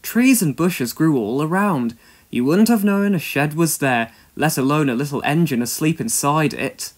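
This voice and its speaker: A man reading a scripted children's story aloud as narrator, with expressive delivery. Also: on the high side, moderate pace.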